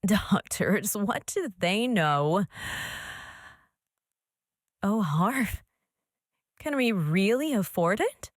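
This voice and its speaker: A gentle, hopeful young wife from a comic book drama. She speaks slowly and affectionately, her sweet voice rising and falling with feeling. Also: mid-range voice, expressive, dry recording, clean studio sound. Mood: delighted.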